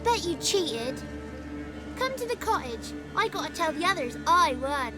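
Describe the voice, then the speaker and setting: A woman's voice: extremely high pitch, big pitch swings, unhurried, over music, exaggerated voice. A woman voicing a cheeky, bossy little village child in a fantasy video game, with blunt rustic childish speech. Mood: cheeky, bargaining. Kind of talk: video game character acting.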